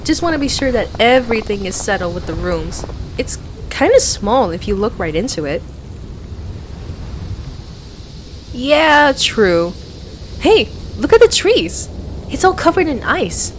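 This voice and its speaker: A woman acting as a young, playful best friend in an audio roleplay, quietly smitten and easily excited. Her voice sits on the high side and swings freely up and down as she chats. There is noticeable ambient noise behind her. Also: normal speed. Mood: cheerful, chatty. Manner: playful, chatty, expressive.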